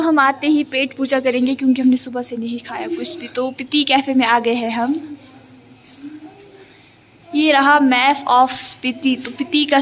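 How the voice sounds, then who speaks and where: A young woman from India vlogging on a handheld camera, talking casually to her viewers as friends. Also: high voice. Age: young adult. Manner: chatty, addresses viewers as friends. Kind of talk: casual travel vlog narration. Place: outdoors, cafes, shops and a bus.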